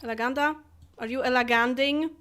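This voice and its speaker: A woman's voice: high voice, fairly even intonation, brisk, a bit bright, slight background noise. A young woman from Poland, chatting casually and laughing as she streams a life-simulation game. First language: Polish. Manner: chatty, laughs often, talks to game characters. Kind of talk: casual live game commentary.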